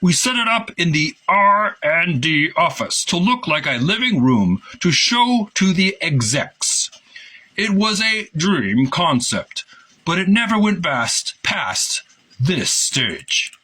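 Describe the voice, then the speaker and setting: A man voicing an enthusiastic male designer who recalls a project, read aloud in an animated, emphatic way. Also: on the high side, slow pace, pitched above the performer's own voice, full character voice. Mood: reminiscing, earnest. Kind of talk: quoted recollection read aloud.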